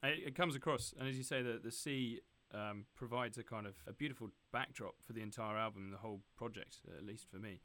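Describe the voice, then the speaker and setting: A man's voice: fairly low pitch, fairly even intonation, fairly quick, few pauses, sharp tone. A man hosting a radio interview, friendly and encouraging toward his guest.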